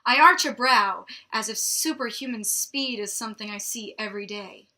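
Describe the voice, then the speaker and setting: A woman's voice: on the high side, normal speed, pushed and bright. A young adult woman hosting a book show, reading a novel aloud and breaking off for wry, drily mocking commentary. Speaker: book reviewer host.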